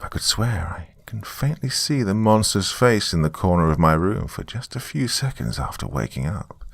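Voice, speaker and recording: A man's voice: sits low, very animated, moderate pace, creaky, no echo. A man who narrates horror stories and hosts, speaking as a storyteller. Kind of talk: dramatic first-person story narration and casual sign-off.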